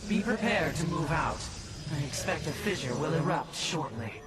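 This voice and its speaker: A man's voice: a little high, expressive, moderate pace, natural voice. A man voicing a proud, honor-bound alien warrior commander in a video game, with formal, martial phrasing. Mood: businesslike, wary. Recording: distant, very noisy game battle audio.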